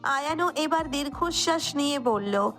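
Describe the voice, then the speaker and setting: A woman's voice: high-pitched, animated, a little slow, fairly bright tone, faint music behind. A woman narrator reading a scripted romantic story.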